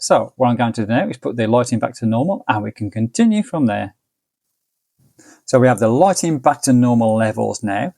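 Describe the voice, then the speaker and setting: A man giving a casual, plain-spoken product review to viewers in a measured way. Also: medium pitch, gravelly, darkish tone, dry recording, clean studio sound. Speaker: radio reviewer and video host.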